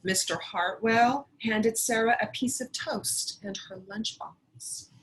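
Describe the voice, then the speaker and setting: A woman teacher giving deliberate instructions and reading a story aloud to her pupils. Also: medium pitch, slight background noise, low-quality recording. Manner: deliberate, addressing pupils directly.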